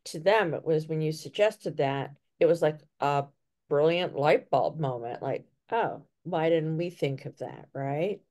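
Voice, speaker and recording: A woman's voice: deep voice, a little mellow. A middle-aged woman hosting an interview over a video call, chatting casually and agreeing readily. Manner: affirming, quick agreeable interjections. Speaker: podcast host. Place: home room.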